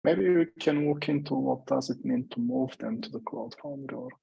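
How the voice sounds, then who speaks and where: A man giving a casual technical status update on an online call in halting phrases. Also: medium pitch, vocal fry, husky, lo-fi sound. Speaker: working group member giving a status update.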